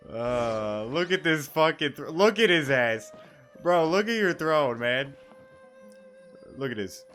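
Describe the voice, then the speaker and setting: A man's voice: medium pitch, fairly bright tone. A young man giving casual let's play commentary, slangy, sweary and joking. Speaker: gaming YouTuber.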